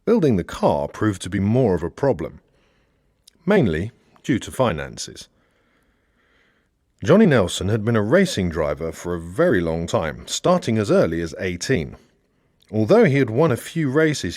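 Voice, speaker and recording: A man reading a satirical novel as an audiobook narrator, in a measured storytelling way with expressive emphasis. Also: lowish voice, no room sound, clean studio sound.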